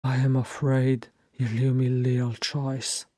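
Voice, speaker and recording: A weary old king of a storybook realm, acted by a narrator, stern and commanding. He delivers formal, grave speech slowly and deliberately. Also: mid-range voice, flat delivery, warm tone, dry recording, studio quality. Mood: grave, resolute.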